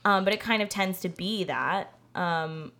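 A woman's voice: fairly low pitch, measured pace, sharp tone. A woman co-hosting a podcast, chatting casually about movies in slangy, profanity-sprinkled speech. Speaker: podcast co-host. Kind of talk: casual movie-review chat.

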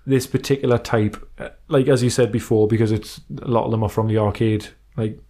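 A man's voice: fairly low pitch, fairly even intonation, a little fast, mellow. A man co-hosting a podcast, chatting informally and reading out listener messages. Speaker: podcast co-host. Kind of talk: casual chat about retro racing games, reading out listener emails.

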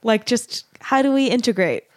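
A woman in her thirties hosting an interview, playful and quick to laugh. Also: a little high, lively intonation, no echo, clean studio sound. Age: 30s. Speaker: podcast host. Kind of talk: casual interview chat.

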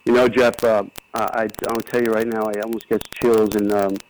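A man's voice: fairly low pitch, level tone, moderate pace, a little mellow. Older american guy, ex coach chatting on the phone, rambly and earnest, some line noise and clicks. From New York City, United States. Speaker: retired basketball coach, interview guest. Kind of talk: casual reminiscing interview. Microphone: telephone. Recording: noisy phone interview with clicks.

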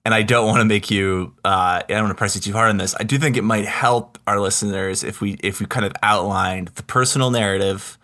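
A man interviews in a conversational podcast style. His voice is fairly low yet bright and forward, and he feels his way carefully through his phrasing, hedging as he goes. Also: fairly even intonation, brisk, hardly any pauses.

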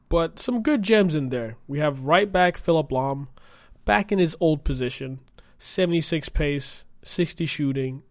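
A young man with a cold talks through a casual solo podcast, his voice a little croaky. He rambles along at an ordinary pitch, chatty and full of fillers. The sound is dry and close, with no room around it. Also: dry recording. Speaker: podcast host and streamer.

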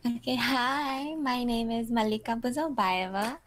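A woman's voice: a little high, a little slow. A woman in her twenties from Kazakhstan, a model answering interview questions in a casual, chatty way with lots of fillers and small laughs. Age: 20s. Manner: chatty, giggly, many fillers like "you know".

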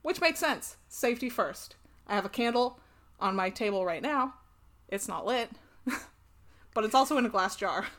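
A woman's voice: a little high, expressive, moderate pace, pauses often, fairly bright tone. Young American woman, casual podcast co-host, giggly and profane. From United States. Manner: laughs often, swears freely. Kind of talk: casual chat.

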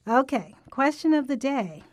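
A woman's voice: sits high, normal speed, no room sound, studio quality. Upbeat woman co-hosting a podcast. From Jamaica. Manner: upbeat, lively, personable. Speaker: podcast co-host. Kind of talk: casual podcast conversation.

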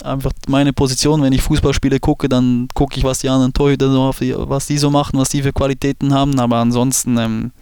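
A man in his late twenties from Switzerland with a Swiss German accent, a guest talking casually in a podcast interview and pausing often with fillers and restarts. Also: medium pitch, little pitch movement, darkish tone, clear, resonant tone, no room sound. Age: 20s. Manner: hesitant, many fillers and restarts. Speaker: football goalkeeper guest.

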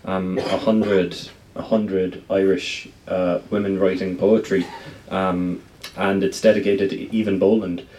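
Halting male interviewer, off-mic. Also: deep voice, level tone, darkish tone, distant mic, rough recording. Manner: rambling, halting questions. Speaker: interviewer, podcast host. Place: bookshop event.

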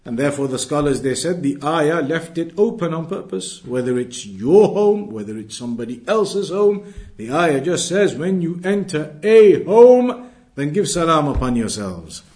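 A man teaching an Islamic lesson, explaining in a deliberate way and repeating his points. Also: on the high side, expressive, darkish tone. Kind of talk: religious lesson, reading Arabic then explaining.

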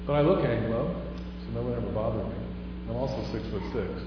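A man's voice: mid-range voice, brisk. A man from Houston giving a conversational chapel talk to a live audience, telling stories and rising to emphatic questions. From Houston, Texas. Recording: echoey hall from far off, dull with hum.

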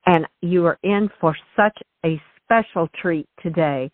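A woman's voice: fairly low pitch, a little slow, dry recording. A woman hosting a radio show, giving listeners and her guest a gracious, effusive welcome. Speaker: host. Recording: tinny phone line, dry.

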